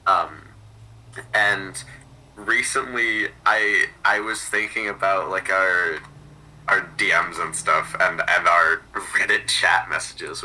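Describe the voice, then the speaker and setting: A man chatting casually as a podcast guest, halting and repeating words as he explains things. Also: low voice, bright, forceful tone, slightly rough, rough recording. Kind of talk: casual chat.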